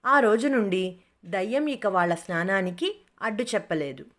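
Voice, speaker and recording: A woman's voice: medium pitch, a bit bright. A woman storyteller reading a scripted moral tale as narrator.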